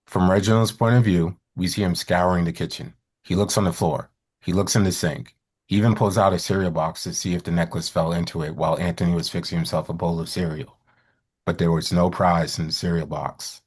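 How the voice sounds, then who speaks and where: Very deep, husky man narrating a script. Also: fairly even intonation, brisk, darkish tone. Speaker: actor reading stage directions. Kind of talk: scripted narration at a live table read.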